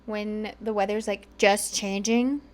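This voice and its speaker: A woman's voice: medium pitch, fairly even intonation, measured pace, a little mellow. A woman co-hosting a podcast, chatting casually and a bit rambling, slightly congested. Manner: chatty and rambling, many fillers. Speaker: podcast co-host.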